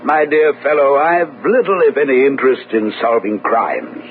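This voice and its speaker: An actor playing a reclusive, self-assured French gentleman detective in an old radio drama, urbane, measured and condescending. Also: medium pitch, lively intonation, natural voice. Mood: aloof. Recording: tinny old radio broadcast, humming noise.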